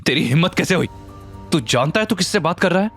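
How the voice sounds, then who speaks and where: A man's voice: on the high side, lively intonation, quick pace, a bit bright, husky, soft background music, voice pushed up, heavily acted. A man voicing an agitated, hot-tempered young man from a rich family in an audio drama. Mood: angry.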